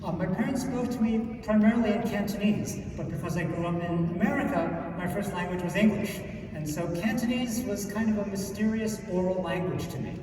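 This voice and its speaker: A man's voice: high-pitched, a little fast, hardly any pauses. Ny math teacher guy giving a chill stage talk, lots of ums, noisy hall echo. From New York City. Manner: relaxed, conversational, many "um"s. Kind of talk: short prepared talk on stage. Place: large conference hall. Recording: echoey hall, picked up from far off, noisy.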